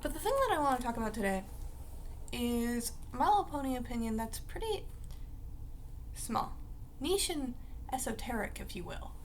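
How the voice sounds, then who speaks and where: A young woman vlogging in a casual, rambling way, her voice raspy because she just woke up. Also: a little high, sing-song, moderate pace, pauses often, slight background noise. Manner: rambling, chatty, full of fillers like "like" and "uh". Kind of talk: casual talk to camera.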